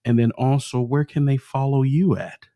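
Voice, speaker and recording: A man's voice: medium pitch, steady tone, moderate pace, mellow, no room sound, clean studio sound. A man co-hosting a podcast interview, asking a guest questions in a friendly way. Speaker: podcast co-host. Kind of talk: casual interview talk.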